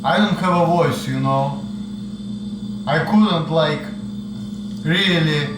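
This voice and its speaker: A man's voice: on the high side, slow pace. A young man with a strong Russian accent, chatting casually and profanely with many fillers in a home kitchen. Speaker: video host. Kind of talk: casual chat. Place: apartment kitchen. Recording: echoey kitchen, far mic, noisy.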